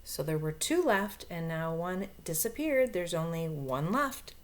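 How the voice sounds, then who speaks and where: Slow-paced woman reading a storybook aloud. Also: on the low side. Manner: expressive, explains and asks questions. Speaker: storybook reader. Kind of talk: read-aloud to young children with asides.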